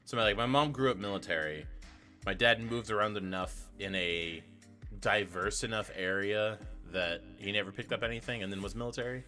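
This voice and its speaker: Man in his twenties with a bright, lowish voice, rambling, swears, casual. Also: normal speed, faint music behind. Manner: rambling, profane, self-correcting storyteller. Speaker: trivia stream co-host. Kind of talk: casual chat.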